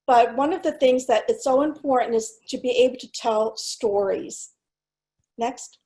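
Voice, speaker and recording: A middle-aged woman, first language Cantonese, gives a keynote as a school superintendent, moving through slides at a measured pace. Her voice sits a little high, and she talks earnestly with small pauses and fillers. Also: mellow. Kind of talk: presentation with slides.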